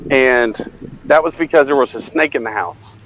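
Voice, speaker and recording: A man's voice: mid-range voice, moderate pace, a bit bright, soft background music, rough recording. A middle-aged man telling a rambling, self-deprecating anecdote in a casual way, acting out bits of dialogue. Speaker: storyteller.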